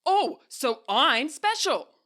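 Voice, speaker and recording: A woman's voice: high voice, big pitch swings, slow, a bit bright, voice pushed up, exaggerated voice. A woman voicing a defiant, protective teenage boy, mocking and confrontational, in a dramatised fanfiction reading.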